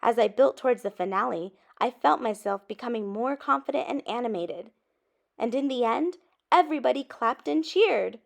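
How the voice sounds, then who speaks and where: A woman reading a children's story aloud expressively, with dramatic emphasis and drawn-out words. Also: on the high side, animated, creaky. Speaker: storybook reader.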